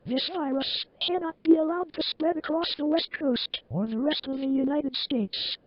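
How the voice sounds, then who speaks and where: A woman's voice: sits high, normal speed, lo-fi sound, lightly acted. A woman playing a formal local TV emergency alert announcer, reading an official scripted warning. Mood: official, serious.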